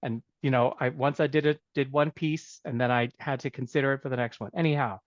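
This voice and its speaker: A man's voice: medium pitch, fairly even intonation, fairly quick, dry recording. A man, a music educator who grew up in Canada, telling a story in a reflective, casual way with many fillers and restarts. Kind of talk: casual storytelling on a video call. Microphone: video call. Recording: dull, dry video-call audio.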